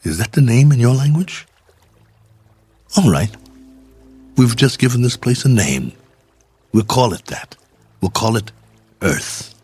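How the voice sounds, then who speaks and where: An actor playing a stranded space traveler, weary but hopeful, halting and musing in a radio drama. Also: male voice, medium pitch, very animated, a little mellow, breathy edge, faint music behind. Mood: gentle, hopeful. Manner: halting, musing, talks to himself.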